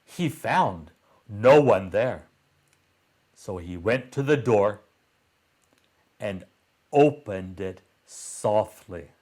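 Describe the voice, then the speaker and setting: A man reading a children's story aloud in a deliberate way, pausing between short phrases. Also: lowish voice.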